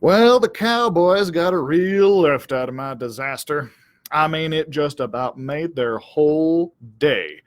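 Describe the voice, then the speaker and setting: A man's voice: a little high, fairly bright tone, dry recording. A man voicing a self-important, aggrieved cowdog who tells his storybook tale in a pompous, melodramatic, wordy way. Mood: bitter, self-righteous.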